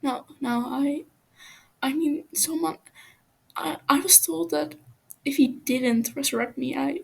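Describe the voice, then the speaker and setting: A woman voicing a frightened, wounded captive man who stammers and pleads haltingly in an audio story. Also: sits high, gravelly, pitched above the performer's own voice, heavily acted. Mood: desperate.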